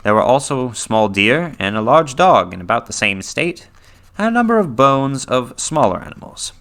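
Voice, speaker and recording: A man giving a dramatic reading of a horror story, theatrical and sometimes dropping to a hushed, breathy tone. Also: medium pitch, sing-song, moderate pace. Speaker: reader.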